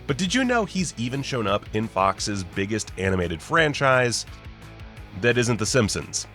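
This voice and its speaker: A man's voice: mid-range voice, big pitch swings, a little slow, fairly bright tone, faint music behind. A man narrating a scripted list video as host, with wry, sarcastic, joking asides. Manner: wry, sarcastic, jokey mock-casual delivery.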